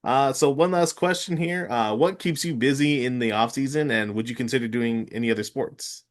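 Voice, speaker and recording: A man's voice: mid-range voice, moderate pace. Agreeable American man hosting a podcast. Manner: agreeable, murmurs short responses. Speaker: podcast host. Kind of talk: casual sports interview.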